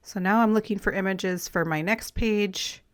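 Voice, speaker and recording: Woman vlogger, slightly raspy and throat-clearing, relaxed rambling crafting chat. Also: mid-range voice, moderate pace. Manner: relaxed, rambling, friendly, with light laughs. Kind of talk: casual chatty voice-over while collaging.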